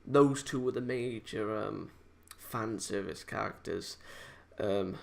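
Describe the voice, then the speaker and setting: A man giving a casual, unscripted review in a halting, hesitant, rambling way, often correcting himself as he goes. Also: on the low side, gravelly. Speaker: amateur video game reviewer.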